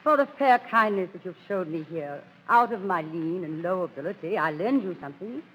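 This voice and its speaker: A timid young gentleman, high and husky with a sharp edge. The delivery is theatrical and earnest, in 1930s Shakespearean style. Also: animated, normal speed, full character voice, low-quality recording. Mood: puzzled earnest. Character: bewildered, timid young gentle-born duellist. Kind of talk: 1930s radio Shakespeare acting.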